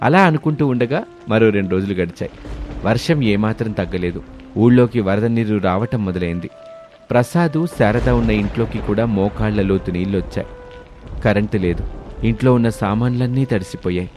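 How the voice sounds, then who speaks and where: Guy narrator reading a moral tale, lowish voice, faint music behind. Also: a little fast, a little mellow. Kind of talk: scripted storybook narration. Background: background music.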